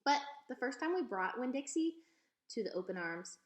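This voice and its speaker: A woman reading a children's book aloud as an expressive storyteller. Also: high voice, normal speed. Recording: echoey room.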